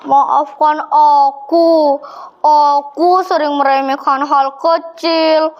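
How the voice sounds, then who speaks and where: A woman's voice: high-pitched, little pitch movement, extremely slow, dull audio, pitched below the performer's own voice. A young girl voicing a careless, offhand male heron in a children's story. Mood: sheepish. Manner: casual, offhand.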